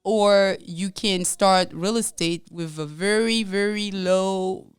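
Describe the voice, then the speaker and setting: A woman from Congo who grew up in France, speaking with a French accent as a podcast co-host, telling her story in a lively way, laughing and acting out what people said. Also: mid-range voice, takes it slowly, clean tone, dry recording, studio quality. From Congo, raised in France. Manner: lively, laughs often, acts out quotes. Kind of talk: casual interview storytelling.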